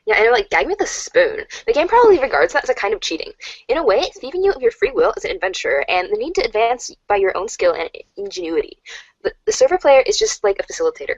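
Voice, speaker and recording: Woman playing lively, frank teenage girl. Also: on the high side, fast talker, no echo, highs cut off. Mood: frank, exasperated. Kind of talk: amateur dramatic reading of webcomic chat dialogue.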